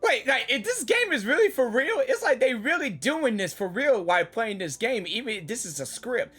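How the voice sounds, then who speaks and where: A gaming host giving casual live reactions in an excitable, playful, repetitive way. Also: medium pitch, moderate pace, slightly rough, soft background music. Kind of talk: casual live game commentary.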